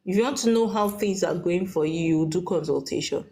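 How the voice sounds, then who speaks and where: A woman's voice: on the low side, a little fast, dark tone. A woman hosting a live call-in chat, speaking in a directive way to the people who join. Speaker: livestream host giving spiritual consultations. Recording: noisy livestream with clicks.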